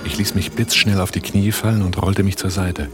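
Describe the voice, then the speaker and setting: An actor in a German radio drama playing a cool, controlled gunrunner, terse and matter-of-fact. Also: male voice, low-pitched, fairly even intonation, a little fast, over music, close to an everyday voice. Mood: tense, controlled. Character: Altmaier, cool, controlled male gunrunner who takes command. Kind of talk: German radio drama acting and first-person narration.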